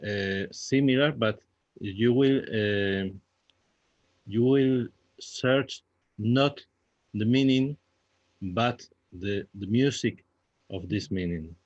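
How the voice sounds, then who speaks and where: A man with a Spanish accent speaking halting English, searching for words as he answers as a playwright in a Q&A. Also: lowish voice, darkish tone, dry recording, muffled recording. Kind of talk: Q&A discussion.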